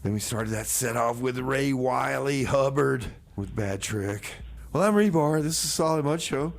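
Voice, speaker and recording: A man's voice: mid-range voice, expressive, unhurried, creaky. A man playing a rambling, easily sidetracked music radio host in casual comic banter.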